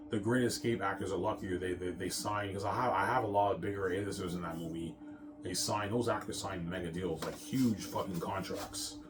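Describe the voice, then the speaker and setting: A man's voice: on the low side, noisy background. A man in a casual, rambling monologue, boastful and repetitive as he circles back over his phrases. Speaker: self-styled film maker talking about his movie projects.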